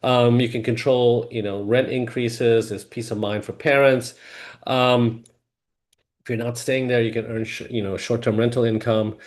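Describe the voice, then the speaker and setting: A man's voice: mid-range voice, flat delivery, moderate pace. A middle-aged man hosting a video-call webinar, talking casually with many fillers and restarts. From Hong Kong family, grew up in Singapore. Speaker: webinar host, mortgage lender.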